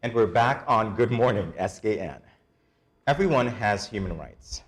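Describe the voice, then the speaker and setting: Deep-voiced man hosting a morning show. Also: expressive. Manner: measured, reading from a script. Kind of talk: scripted show presenting.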